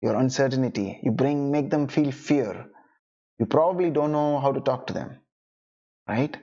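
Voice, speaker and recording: A man's voice: medium pitch, moderate pace, warm tone, dull audio. A young man whose first language is Tamil, coaching freelancers in a casual online lesson and often adding "right?" and "you know". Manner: conversational, many "right?" and "you know" tag questions. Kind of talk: online lesson, talking to camera.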